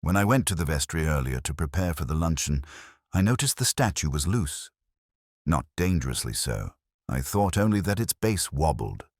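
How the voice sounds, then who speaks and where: A man voicing a frightened, guilt-ridden parish churchman in a storybook, pleading and earnest as he confesses haltingly. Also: rumbling low, sing-song, no room sound, clean studio sound, exaggerated voice. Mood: remorseful. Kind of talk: dramatised audiobook dialogue.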